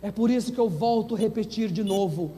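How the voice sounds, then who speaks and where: Casting: Brazilian male evangelical preacher, strikingly high pitch, impassioned declamatory testimony, heavily reverberant hall sound. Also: a little fast, warm tone. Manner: fervent, emphatic, repetitive declamation. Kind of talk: evangelical sermon and personal testimony.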